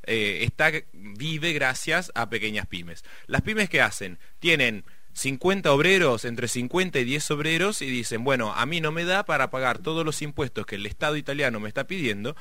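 A man's voice: medium pitch, animated, normal speed, hardly any pauses, pushed and bright. A middle-aged man with a Rioplatense Argentine accent explaining economics in casual conversation, rambling with many fillers and restarts. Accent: Rioplatense Spanish.

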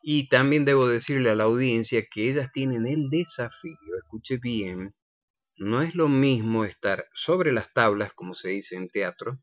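A man's voice: medium pitch, dry recording, dull audio. A man hosting a casual live radio interview, teasing playfully and speaking straight to the listeners. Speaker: radio host.

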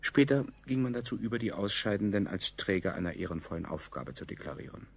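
An actor playing a grave chronicler, narrating a radio play in a measured, faintly ironic way. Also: male voice, on the low side, animated, gravelly, slightly rough, heavily acted. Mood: sober recounting. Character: grave male chronicler, a survivor recalling the state.

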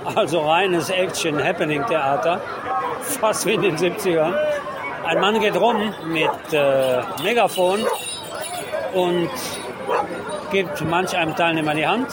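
Male street reporter, higher register, spontaneous wry commentary, loud urban crowd and traffic noise around him. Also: takes it slowly. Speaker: radio host reporting from the street. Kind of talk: spontaneous live on-site commentary. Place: outdoors in a busy city crowd. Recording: noisy urban crowd outdoors. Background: crowd, street ambience, vehicles.

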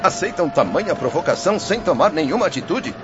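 An actor playing an anxious, emotional former wine merchant turned spirit in a radio drama. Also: male voice, on the high side, sing-song, fast. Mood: alarmed, indignant. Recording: muffled radio drama over loud music.

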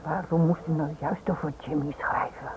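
A woman's voice: sits low, fairly even intonation, moderate pace, close to an everyday voice. An actress playing a guarded, uneasy married woman in a radio play, hesitant and defensive, with clipped replies. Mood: startled, wary. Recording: tinny, noisy old radio drama recording.

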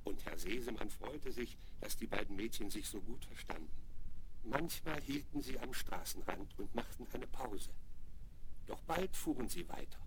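A man's voice: fairly low pitch, lively intonation, moderate pace, natural voice. A man playing the storyteller, reading scripted narration in a 1970s German children's audio play. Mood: storytelling. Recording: very noisy, crackly 1970s vinyl rip.

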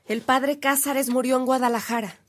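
High-voiced pragmatic Mexican woman. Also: fairly even intonation, normal speed. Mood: concerned. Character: pragmatic, devoted woman close to a priest. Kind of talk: radio drama acting.

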